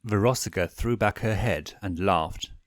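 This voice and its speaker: A man's voice: fairly low pitch, measured pace, no echo, studio quality. A man narrating fan fiction as a scripted, dramatic audiobook reading.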